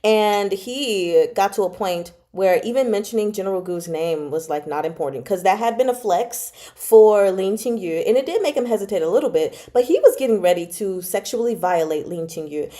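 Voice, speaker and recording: A woman's voice: medium pitch, moderate pace, hardly any pauses. Chatty, giggly woman retelling a story. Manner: animated, giggly, slangy, acts out characters' reactions. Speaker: book club host and storyteller. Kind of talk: casual chat, retelling a novel to viewers.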